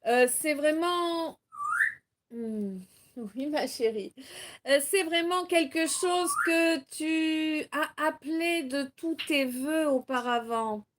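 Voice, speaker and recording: A French woman with a high voice hosts a live-streamed card reading and chats as she goes. She talks at an unhurried pace, laughs often, and reads the viewers' messages aloud. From Normandy, France. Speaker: tarot reader hosting a live stream.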